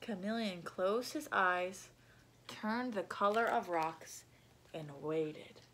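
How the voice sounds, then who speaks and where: A young adult woman reading a picture book aloud to children, animated and explanatory, with asides. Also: mid-range voice, slow, a little hiss or hum. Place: small room at home.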